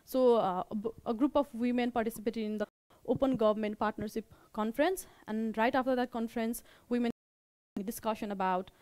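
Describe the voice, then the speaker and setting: A young woman with a Nepali accent gives an informal meetup presentation as an organizer, in a halting way, often repeating and rephrasing her words. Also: on the high side, fairly even intonation, darkish tone, dry recording.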